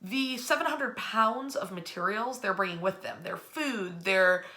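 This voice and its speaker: A middle-aged American woman telling history in a casual, animated way, speaking in a bedroom to a camera a short distance away. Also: mid-range voice, unhurried. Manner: animated storyteller, acting out quotes in slangy asides. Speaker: history storyteller and host. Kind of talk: casual, informal history storytelling. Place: indoors in a bedroom.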